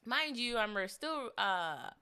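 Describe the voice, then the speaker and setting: A young woman in her twenties hosting a casual solo podcast, chatting and rambling with animated asides, a little congested. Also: on the high side, takes it slowly, clean tone. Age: 20s. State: slight congestion. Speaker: podcast host.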